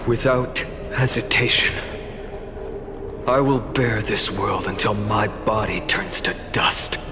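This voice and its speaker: A man's voice: lowish voice, takes it slowly, noisy background. A male actor playing a resolute, anguished young hero in a video game, with a grave, declamatory delivery. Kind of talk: scripted game cutscene acting. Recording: long reverb under music.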